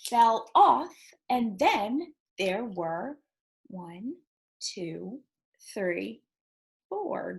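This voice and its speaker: High-voiced, unhurried woman leading children's storytime. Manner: singsong, encouraging, addressing young children. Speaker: children's library storytime host. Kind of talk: children's storytime with read-aloud and counting.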